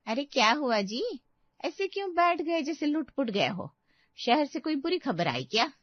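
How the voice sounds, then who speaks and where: A woman's voice: sits high, very animated, measured pace, no room sound, dull audio, pitched above the performer's own voice. A woman voicing a tender older village aunt with dramatic, exclamatory delivery in a story. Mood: alarmed. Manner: exclamatory, lamenting. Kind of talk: Hindi audio story dramatization.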